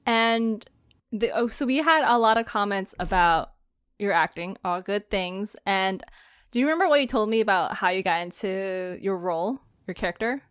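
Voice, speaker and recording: A woman's voice: medium pitch, normal speed, fairly bright tone, clear, resonant tone. A woman hosting a podcast in a casual, giggly and informal interview style. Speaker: podcast host and creator.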